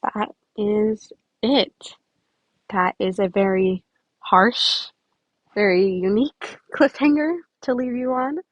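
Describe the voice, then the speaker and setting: A woman's voice: mid-range voice, slow pace, no room sound, lo-fi sound. A woman reading a story aloud and chatting casually to listeners as a podfic reader.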